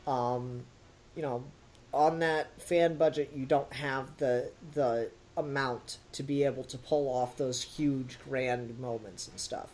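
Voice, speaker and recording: A man's voice: medium pitch, fairly even intonation, slow, a little mellow. A man co-hosting a review podcast, talking casually and critically in an emphatic, opinionated way.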